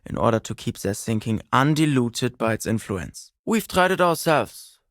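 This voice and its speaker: An actor voicing an earnest, pedantic young communist intellectual for a video game, bookish, precise and self-serious. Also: male voice, medium pitch, very animated, moderate pace, fairly bright tone, dry recording, studio quality. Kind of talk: video game character voice lines.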